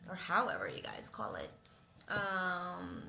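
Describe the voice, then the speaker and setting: A woman's voice: lowish voice, sing-song, slow pace, creaky, pushed and bright, soft background music. A young woman, not a native English speaker, talking in a casual, rambling vlog with many hesitant fillers. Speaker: vlogger.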